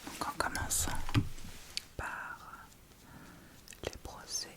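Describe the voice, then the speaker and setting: A woman whispering a makeup tutorial in ASMR style, breathy and unvoiced, softly narrating each step as she goes. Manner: soft, unhurried, step-by-step narration of own actions.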